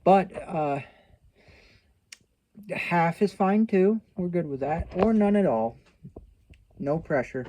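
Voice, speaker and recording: A man's voice: high voice, slow, pauses often, mellow, slight background noise. A man chatting casually to his viewers as a channel host, rambling along in a folksy, self-deprecating way. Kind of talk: casual vlog chat to viewers.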